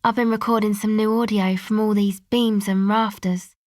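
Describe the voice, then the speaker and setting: A woman's voice: mid-range voice, flat delivery, normal speed, dry recording, natural voice. An actress playing a guarded, laid-back young woman who leads a streetwise crew in a video game, speaking casually with dry understatement. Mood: casual, curious. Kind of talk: video game dialogue acting.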